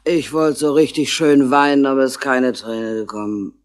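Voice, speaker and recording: An actress playing a worldly, soft-hearted barmaid who likes a drink, chummy and theatrical in a 1960s crime radio drama. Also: female voice, a bass voice, normal speed. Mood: wry, weary.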